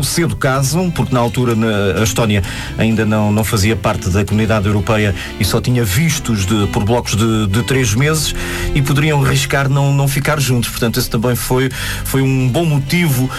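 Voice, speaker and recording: Male interview host, measured prompting delivery, music bed behind. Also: fairly low pitch. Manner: measured, prompting, fills in the guest's words. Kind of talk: interview conversation.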